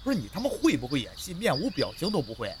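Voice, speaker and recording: A blustering, high-pitched film director. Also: male voice, wildly swooping pitch, fast talker, music in the background. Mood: exasperated, yelling. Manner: blustering, scolding, sputtering. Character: harried, foul-mouthed middle-aged male film director. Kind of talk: modern radio drama acting.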